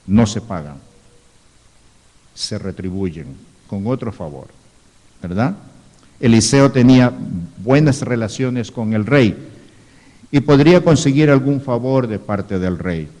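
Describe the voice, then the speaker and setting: A man's voice: mid-range voice, lively intonation, slow, pauses often, warm tone. A man preaching as a pastor, teaching in a sermon, reading scripture aloud and adding rhetorical asides. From Ecuador. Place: church hall, live stream. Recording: reverberant church hall.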